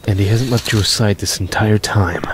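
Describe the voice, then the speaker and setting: An actor playing an affectionate, protective older-brotherly or fatherly boss in an audio story, with a gravelly, husky voice. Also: on the low side, fairly even intonation, normal speed, a little hiss or hum, lightly acted. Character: fatherly, affectionate male chief, protective and teasing.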